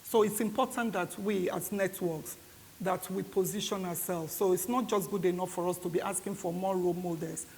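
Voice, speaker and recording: A mature woman in her fifties or older speaks on a panel with a Nigerian accent. Her voice sits fairly low and she makes her points in a measured way. The hall rings around her, with audible hiss. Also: steady tone, a little mellow. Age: 50s or older. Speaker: conference panellist. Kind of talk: panel discussion remarks. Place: reverberant indoor event hall. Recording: echoey hall with clear background hiss.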